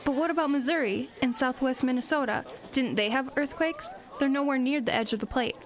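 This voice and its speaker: A woman's voice: high-pitched, brisk, lightly acted. A woman playing an analytical teenage schoolgirl in an audio drama, matter-of-fact and reasoning things out aloud. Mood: curious. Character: earnest, analytical teenage schoolgirl amateur sleuth.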